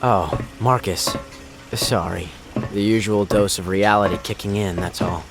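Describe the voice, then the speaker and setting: A wistful offshore rig worker with a low voice, narrating his isolated life in an audio drama. He sounds reflective and subdued, with music clearly playing underneath. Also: steady tone, measured pace. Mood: distracted. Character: wistful rig maintenance worker and devoted fiancé. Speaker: narrator and lead character.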